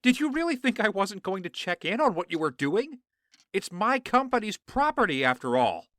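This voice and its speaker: A man's voice: high-pitched, very animated, normal speed, a bit bright, no room sound, clean studio sound. A man playing a horrified business partner with a troubled conscience in an audio drama, indignant, pleading and emotional. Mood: accusing.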